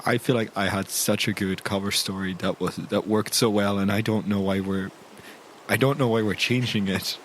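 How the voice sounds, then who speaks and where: A man's voice: lowish voice, steady tone, moderate pace, a little hiss or hum. A man playing a tabletop roleplaying game, improvising conversational, argumentative banter in character.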